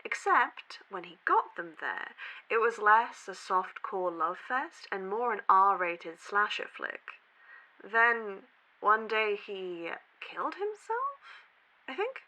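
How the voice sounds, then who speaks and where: A woman's voice: medium pitch, very animated, slow pace, bright, forceful tone, heavily acted, rough recording. A woman playing a skeptical young college student and podcast co-host in an audio drama, dry and sardonic in manner. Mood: doubtful, sarcastic. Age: young adult.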